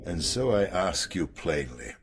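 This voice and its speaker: An actor playing an ancient, bitter vampire elder in a video game, condescending and suspicious, with theatrical, archaic phrasing. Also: male voice, low-pitched, sing-song, unhurried, husky, heavily acted. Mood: suspicious, accusing. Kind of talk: video game cutscene acting.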